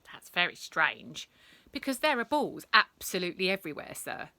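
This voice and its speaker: A woman's voice: on the low side, sing-song, normal speed, bright, forceful tone, dry recording. A woman voicing a cheeky schoolgirl trickster from a storybook, slyly polite and mock-innocent.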